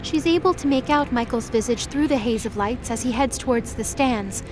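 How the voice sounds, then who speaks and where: A woman narrates a dramatic scripted story. Her voice sits a little high, with music clearly playing behind her. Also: normal speed.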